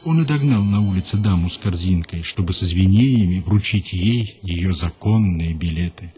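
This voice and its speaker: Man, radio host, scripted storytelling, measured unhurried reading, lowish voice. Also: slight background noise. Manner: measured, unhurried reading, drops lower and quieter for quotations.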